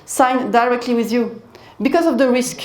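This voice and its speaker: Woman presenting in an echoey conference hall. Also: fairly high pitch. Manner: measured, repeats key phrases. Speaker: conference speaker. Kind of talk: business presentation with Q&A. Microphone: handheld mic.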